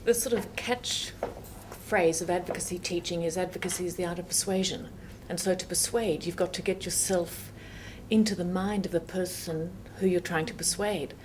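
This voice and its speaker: Soft-voiced woman judge, measured. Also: fairly low pitch, fairly even intonation, slight background noise, low-quality recording. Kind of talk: panel discussion.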